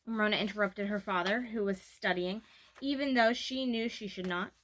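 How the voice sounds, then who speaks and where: A woman reading a children's chapter book aloud in an expressive storytelling style. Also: mid-range voice, normal speed, a little hiss or hum. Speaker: read-aloud narrator.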